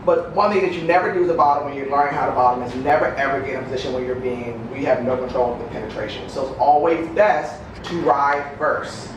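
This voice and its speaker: A man's voice: medium pitch, quick pace, few pauses, highs cut off. A man on a queer web chat show, frankly giving casual tips in chat. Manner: candid, advice-giving, chatty. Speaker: podcast panelist. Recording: echoey room, mic far off, noisy.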